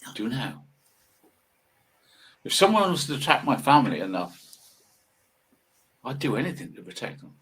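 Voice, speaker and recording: A man in his sixties giving a casual, rambling monologue, musing haltingly and asking rhetorical questions. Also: medium pitch, very animated, a bit bright. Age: 60s. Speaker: video monologue host.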